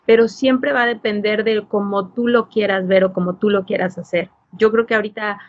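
A woman's voice: mid-range voice, fairly even intonation, brisk, no echo. A woman with a Mexican accent hosting a podcast, reflecting casually and emphatically with rhetorical check-ins, on a dull recording. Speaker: podcast host.

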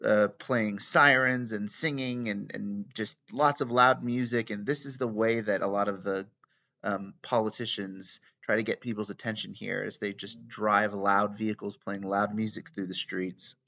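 A man hosting a podcast interview in a conference room, chatty with many ums and pauses. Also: on the low side, fairly even intonation, pushed and bright. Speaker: podcast host. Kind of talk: casual interview. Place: conference room with poor acoustics. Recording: lo-fi, dull sound.

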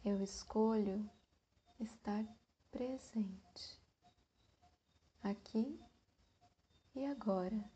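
A woman guides a meditation at an extremely slow pace, leaving long pauses between short phrases. Her voice is soft and breathy, with a mellow, relaxed tone. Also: medium pitch, lo-fi sound.

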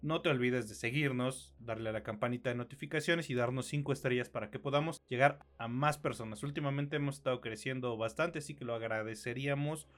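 A man's voice: mid-range voice, a little fast, hardly any pauses. Opinionated Mexican man in his thirties. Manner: opinionated, slangy, swears freely. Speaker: podcast co-host. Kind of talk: casual film and TV review chat.

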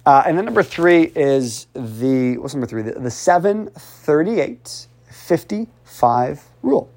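A man's voice: fairly high pitch, a little slow. A male speech coach talks through his methods in a loose, conversational way. He repeats himself for emphasis and sighs between phrases. His voice jumps up when he urges the listener on. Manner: animated, repetitive, urging, with audible sighs. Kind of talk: casual coaching talk.

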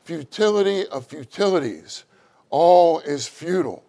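A middle-aged man with a loud voice, preaching a sermon with animated, acted-out quotes. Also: unhurried. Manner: animated, acts out quotes with rising pitch.

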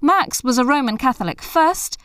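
A woman reading a scripted biographical narration in a measured, formal manner. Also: sits high, expressive, a bit bright, clear, resonant tone, no echo, clean studio sound.

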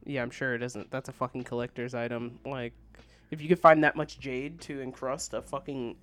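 A man's voice: mid-range voice, flat delivery, normal speed, a bit bright. A man co-hosting a podcast in casual banter, with a sweary, crudely joking way of talking. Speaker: podcast co-host. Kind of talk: casual nerd-news banter.

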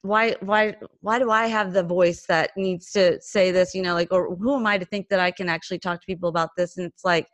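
A woman's voice: lowish voice, steady tone, fairly quick, hardly any pauses, no echo. A woman hosting a faith podcast, reflective and affirming as she talks with her guest. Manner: warm affirming listener, reflective. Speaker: podcast host. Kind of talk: faith-based podcast conversation.

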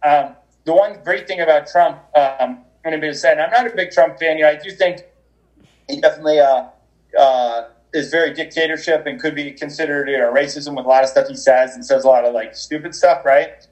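A man's voice: on the high side, steady tone, a little fast, a little mellow, rough recording. A man talking as a podcast guest over a call, rambling with many restarts and fillers. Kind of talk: energetic conversational interview. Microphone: remote call.

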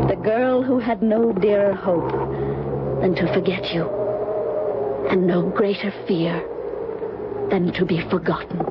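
A woman's voice: medium pitch, lightly acted. An actress playing a solemn, resolute young woman from a cursed family, in melodramatic old-time radio style. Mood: sorrowful, parting. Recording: noisy, muffled old radio broadcast.

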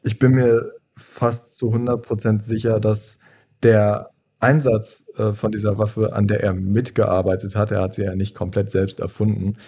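Young guy, dark husky voice, thinks out loud with lots of ums. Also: lowish voice, level tone. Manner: measured and thoughtful, hedging with fillers. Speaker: podcast co-host. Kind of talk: casual film-discussion chat.

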